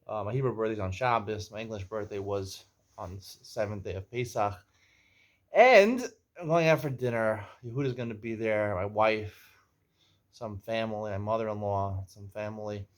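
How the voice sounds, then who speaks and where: A man's voice: fairly low pitch, moderate pace. A man in his forties hosting a casual show, chatty and teasing as he tells stories and jokes with a guest. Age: 40s. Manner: jokey, teasing, chatty storyteller. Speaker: host. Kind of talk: casual chat and storytelling. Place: Israel.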